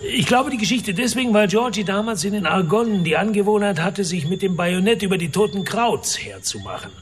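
A man's voice: sits high, expressive, a little fast. An actor playing a crude, boastful, middle-aged wealthy father in a radio drama, with a mocking, theatrical manner. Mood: grim recounting.

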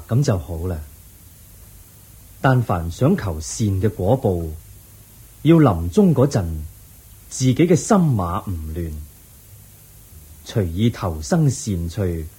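A man's voice: fairly low pitch, heavily acted. A man playing the Buddha, patiently teaching an official in a Buddhist radio drama. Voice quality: husky, dark, unhurried. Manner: gentle, patient preaching. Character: calm wise male Buddha teaching an official.

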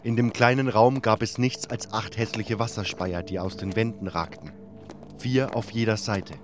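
A man's voice: lowish voice, steady tone, moderate pace, over music. A man narrating a scripted fantasy adventure in the first person, as a dramatic storyteller.

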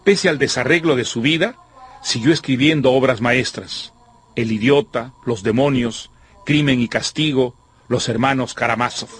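A man presenting a radio novel with scripted literary narration in a formal, measured, erudite way. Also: medium pitch. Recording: music behind the voice.

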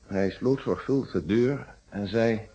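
An actor playing a calm, principled middle-aged police inspector in a Dutch radio detective play, speaking in formal, articulate explanations. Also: male voice, fairly low pitch, unhurried, breathy edge, exaggerated voice. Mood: narrating, probing. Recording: dull, muffled old radio recording.

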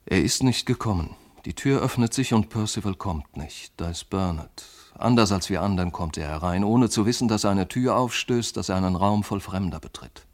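Low-voiced, yearning, anxious man played by an actor, measured poetic delivery for literary radio drama. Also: lightly acted. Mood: wistful. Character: anxious yearning man awaiting his beloved friend.

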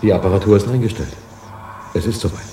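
A male actor in a radio play, playing a soothing, wistful elderly scientist in an unhurried, quietly eerie manner. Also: lowish voice, over music, natural voice. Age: older. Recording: echoey room with background hum.

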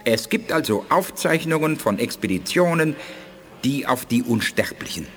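A man's voice: mid-range voice, expressive, normal speed, a bit bright, in a large hall, soft background music, natural voice. An actor playing a thoughtful, grave alien male scientist in a German radio play.

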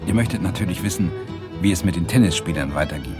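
A man's voice: deep voice, fast, over loud music, lightly acted. An actor playing a reflective Swedish lecturer who narrates his own story in a radio drama. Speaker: narrator and lead character, a lecturer.

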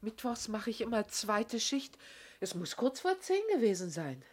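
Husky, concerned landlady. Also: on the high side, sing-song, unhurried, full character voice. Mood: earnest, unsettled. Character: concerned, talkative working landlady. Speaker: actress in a radio drama. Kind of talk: 1970s German radio play acting.

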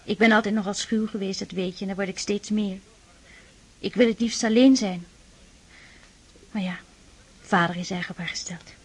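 An actress playing a shy, devout aristocratic sister in a Dutch radio drama, speaking earnestly and tenderly, with pleading admonishment. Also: female voice, medium pitch, fairly even intonation, normal speed, pauses often, slightly rough, lightly acted. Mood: shy, confiding.

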